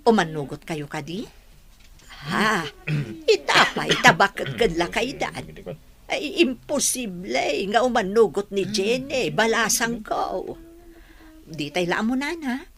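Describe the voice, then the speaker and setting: A woman's voice: medium pitch, fairly bright tone. An actress in a radio drama playing an older motherly woman, consoling and chatty, drawing out the words. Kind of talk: Ilocano radio drama acting.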